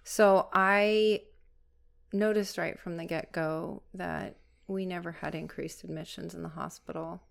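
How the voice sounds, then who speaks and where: A woman working as a nurse, answering interview questions in a steady, matter-of-fact way. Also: on the low side, a little slow, vocal fry.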